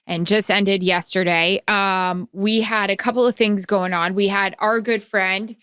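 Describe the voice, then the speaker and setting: A woman's voice: mid-range voice, fairly even intonation, unhurried, fairly bright tone, clear, resonant tone, dry recording, studio quality. A woman co-hosting a podcast, telling stories in casual, self-deprecating chat. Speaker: podcast co-host.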